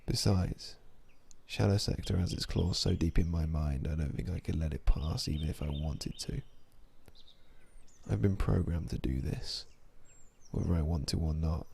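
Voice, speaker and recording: A man playing a weary, cynical vampire-hunting sniper in an ASMR audio drama, speaking in a brooding, low-key, deliberate way. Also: vocal fry, a little mellow, slight background noise.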